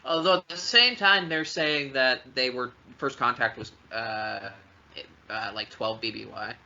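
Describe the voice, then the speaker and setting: A man co-hosting a podcast, chatting casually with opinions, halting and then emphatic. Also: medium pitch, gravelly, sharp tone. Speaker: podcast co-host. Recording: dull audio with faint background noise.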